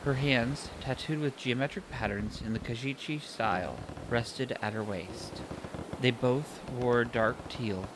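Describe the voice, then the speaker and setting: A man's voice: medium pitch, a little slow. A man narrating a scripted fantasy audio story, with ambient sound design behind him. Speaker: narrator and author. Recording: clear background noise, ambient sound design. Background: ambient sound effects.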